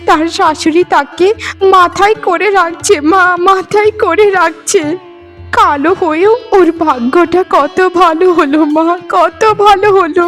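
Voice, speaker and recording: Woman acting a very high-pitched, plaintive young wife. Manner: pleading, emotional, repeats phrases for pathos. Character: young married daughter, plaintive, melodramatic, wronged or grateful. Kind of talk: Bengali cartoon voice acting.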